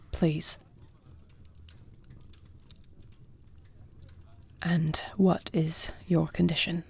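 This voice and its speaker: A woman's voice: fairly low pitch, vocal fry, mellow, slightly rough, dry recording, heavily acted. A woman playing a proud, dutiful young-married warrior princess who can shift into a dragon, speaking formally in a scripted audio roleplay. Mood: conciliatory, earnest. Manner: formal, regal phrasing. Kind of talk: scripted audio roleplay acting, one side of a dialogue.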